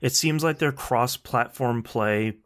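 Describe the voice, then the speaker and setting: A man's voice: mid-range voice, takes it slowly. A man co-hosting a podcast, dropping wry quips into casual gaming chat. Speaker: podcast co-host.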